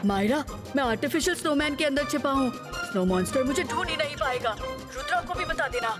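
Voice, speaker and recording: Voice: high-pitched, quick pace, natural voice. An actor voicing a sneaky, nervous young child in a Hindi cartoon. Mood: hushed, scheming. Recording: loud music over the voice.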